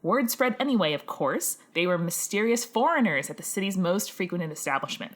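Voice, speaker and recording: A woman's voice: fairly low pitch, very animated, a little fast. Young woman, casual podcast storyteller. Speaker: podcast host. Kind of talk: casual chat and retelling listener stories.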